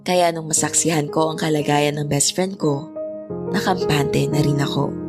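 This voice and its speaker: A low-voiced young woman played by a voice actress narrates her own story. She is reflective, earnest and forgiving, in scripted drama style over loud gentle music. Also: fairly even intonation, moderate pace. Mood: reflective, relieved. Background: loud new-age music.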